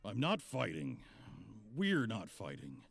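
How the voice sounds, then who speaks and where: A man playing a weary, cautious monster hunter in a visual novel, with a gravelly, husky voice. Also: on the low side, huge pitch swings, slow, no echo, soft background music, heavily acted. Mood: defensive. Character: weary, cautious seasoned male monster hunter, player's lover.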